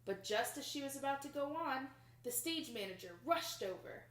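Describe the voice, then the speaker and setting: A young adult woman reading a picture book aloud to children in an expressive, emphatic storytelling manner. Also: high-pitched, normal speed. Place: small plain indoor room. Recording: roomy indoor echo.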